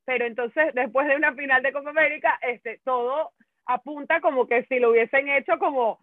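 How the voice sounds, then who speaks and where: Brief: expressive woman from Brazil, co-host, dry tinny phone-like sound. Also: sits high, a little fast, no echo. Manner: indignant, expressive. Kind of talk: casual sports chat.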